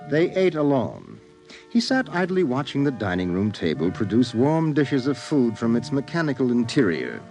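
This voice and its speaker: An actor narrating an ominous science fiction radio drama in an intense, deliberate manner. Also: male voice, mid-range voice, very animated. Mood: brooding, ominous. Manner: intense, deliberate, incantatory repetition. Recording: vintage radio drama over music. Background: music.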